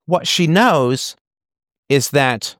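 A man's voice: fairly high pitch, expressive, drawn-out words, fairly bright tone, no room sound. Emphatic man explaining, in dry close sound. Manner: emphatic, explanatory, checks in often with "right?". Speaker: call-in show host giving advice. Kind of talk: conversational advice with analogies.

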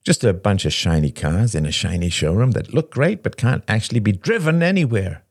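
A man's voice: on the low side, animated, a little fast, husky, dry recording, clean studio sound, voice pushed up. Man voicing a bitter, wounded man, sharply sardonic. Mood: bitter, agitated. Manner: soft-spoken turning agitated and sardonic. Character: Shane, bitter wounded man lashing out at friends. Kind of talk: dramatic audiobook character dialogue.

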